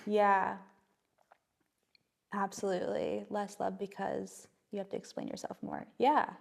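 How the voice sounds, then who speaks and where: A woman with a soft, gently creaky voice talks through her thoughts slowly, circling back with fillers and restarts. She is a playwright guest on a podcast. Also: mid-range voice, completely flat delivery. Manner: hesitant and reflective, many fillers and restarts. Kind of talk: casual podcast conversation.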